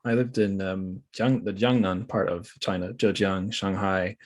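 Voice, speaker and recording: Reflective man with a lowish voice, podcast hosting. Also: fairly even intonation, a little slow. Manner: hesitant, reflective, self-correcting. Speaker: podcast host. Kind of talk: casual literary podcast discussion.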